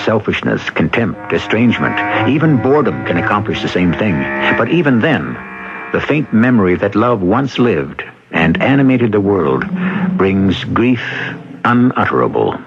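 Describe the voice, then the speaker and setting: A man hosting a vintage radio drama, delivering solemn, philosophical scripted narration in a measured, grave manner. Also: fairly low pitch, big pitch swings. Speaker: host and narrator of a radio mystery series. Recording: muffled vintage radio broadcast. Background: loud music.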